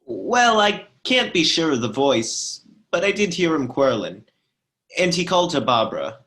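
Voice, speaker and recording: A man's voice: a little high, sing-song, normal speed, a bit bright. A man playing an earnest, folksy neighbor testifying in a radio play, plain-spoken and deferential. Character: earnest, folksy married male neighbor as witness. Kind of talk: radio play courtroom acting.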